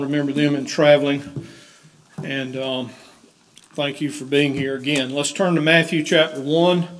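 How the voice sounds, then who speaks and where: A man's voice: fairly high pitch, a bit bright. A man, the pastor, leading a church service and reading scripture aloud in a church sanctuary. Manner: leads a hymn, then reads scripture aloud. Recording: roomy church echo with organ music.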